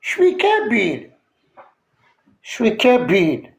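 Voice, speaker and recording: Man, TikTok live host, confrontational rant, lots of hesitations, higher voice, roomy echo. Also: wildly swooping pitch, slow pace, creaky. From Algeria. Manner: confrontational, repetitive, full of hesitations. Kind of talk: heated live-stream rant.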